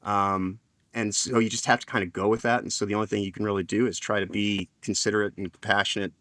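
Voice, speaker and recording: A man's voice: on the low side, flat delivery, fast talker, fairly bright tone, dry recording. A man on a casual panel stream telling a long personal story, rambling with lots of fillers and restarts.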